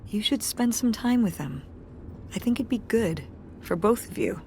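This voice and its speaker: A woman's voice: on the high side, lively intonation, moderate pace, a little mellow, slight background noise. An actress playing a wry, tired middle-aged mother who runs a community theater, speaking with a dry, self-deprecating humor in naturalistic game dialogue. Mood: earnest.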